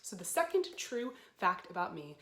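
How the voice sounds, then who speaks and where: A woman hosting a storytime for young children, talking to them in an animated way with simple questions and encouragement. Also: on the low side, moderate pace. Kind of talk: children's storytime presenting to camera. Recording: roomy, echoey sound.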